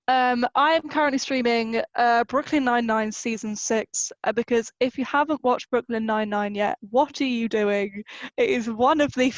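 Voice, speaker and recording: A woman's voice: a little high, no room sound. A woman chatting casually on a podcast panel, informal and emphatic, recorded a little dully. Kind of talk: casual chat, giving recommendations. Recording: slightly dull, dry recording.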